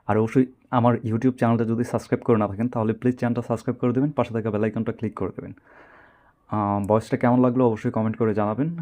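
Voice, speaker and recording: A man's voice: lowish voice, warm tone. A man, a channel host recording a demo greeting for viewers.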